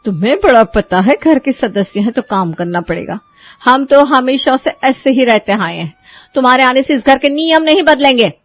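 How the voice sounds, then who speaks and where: Woman playing a whiny, high-voiced lazy sister. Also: expressive, moderate pace. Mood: defiant. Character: lazy, indignant grown sister-in-law who hates chores. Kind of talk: cartoon story dialogue.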